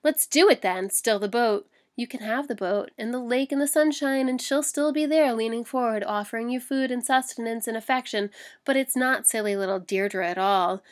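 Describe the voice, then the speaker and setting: A woman voicing a sly, cruel male trader who taunts with a hoarse, hissing voice. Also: on the high side, moderate pace, natural voice. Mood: mocking. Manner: taunting, wheedling.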